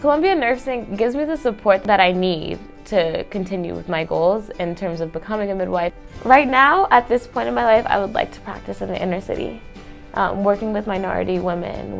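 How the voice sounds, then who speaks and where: Creaky-voiced woman, a nursing student. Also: mid-range voice, brisk. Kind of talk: personal testimonial. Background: faint music.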